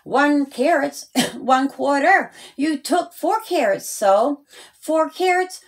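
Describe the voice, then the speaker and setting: A woman's voice: sits high, expressive, slow pace, pitched above the performer's own voice, full character voice. A woman voicing a kindly male farmer in a children's storybook, friendly and plain-spoken. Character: kindly adult male farmer selling produce.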